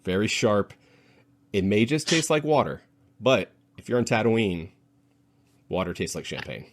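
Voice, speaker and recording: A man with a very low voice hosts a playful panel chat. He talks casually and lingers over his descriptions with relish, like a co-host among friends. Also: animated, a little slow.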